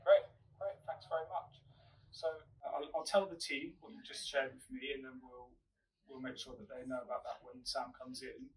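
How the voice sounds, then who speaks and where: A man's voice: mid-range voice, steady tone, moderate pace, close to an everyday voice. A man playing a friendly, considerate mental health clinic worker, speaking politely and reassuringly in a training film. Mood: polite, upbeat. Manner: polite, reassuring, checks back what he heard. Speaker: mental health team staff member. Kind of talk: acted training film, phone and reception talk. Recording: distant mic with faint room noise.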